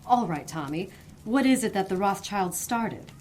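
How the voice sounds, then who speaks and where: A wry, sociable detective's associate, acted by a woman who coaxes people along and reins in her gruff partner. Her pitch swings in a lively way. Clear background noise sits under her voice. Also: normal speed, close to an everyday voice. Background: steady noise.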